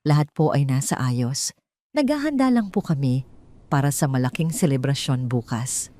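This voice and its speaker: Woman playing a mellow, composed, polite wife. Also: rumbling low, animated, moderate pace, no echo, pitched below the performer's own voice. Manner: polite, respectful, controlled. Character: composed, polite, quietly calculating middle-aged wronged wife.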